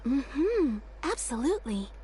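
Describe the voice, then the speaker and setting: High-voiced eager tailor. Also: female voice, sing-song, slow, warm tone, slight background noise, heavily acted. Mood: eager, pleased. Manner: polite, keen. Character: eager, deferential female kimono tailor. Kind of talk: video game voice acting.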